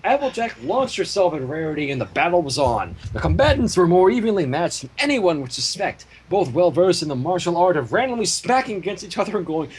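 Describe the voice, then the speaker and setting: High-pitched, animated man reading aloud. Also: brisk, hardly any pauses, slight background noise. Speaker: livestream co-host and story reader. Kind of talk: animated fan fiction reading with casual chat.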